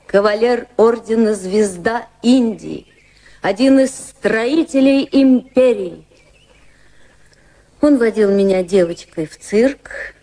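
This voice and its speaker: An actress playing a poised, gently teasing widow of about thirty in a Russian radio drama, speaking in a measured, reflective way. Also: female voice, a little high. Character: poised thirty-year-old widow of English high society, gently teasing. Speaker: actress and narrator in radio play.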